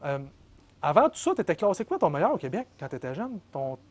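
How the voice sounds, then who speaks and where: A man's voice: fairly high pitch, very animated, brisk. Chatty Québécois man hosting. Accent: Québécois French. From Quebec. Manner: chatty, finishes the guest's sentences. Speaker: podcast host. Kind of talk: casual interview chat.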